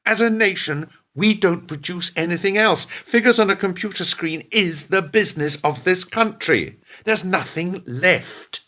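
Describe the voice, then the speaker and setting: A man's voice: sits high, lively intonation, measured pace, sharp tone, exaggerated voice. A man acting in a radio play as a cynical, scheming businessman, scornful and unrepentant, with a theatrical, pointed delivery. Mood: scornful, exasperated.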